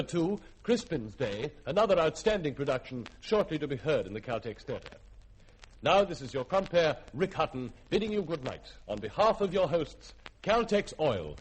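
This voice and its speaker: A man comperes an old radio play. His voice is fairly high and husky, very animated, with formal theatrical phrasing. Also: poor audio. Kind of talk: 1950s scripted radio announcing.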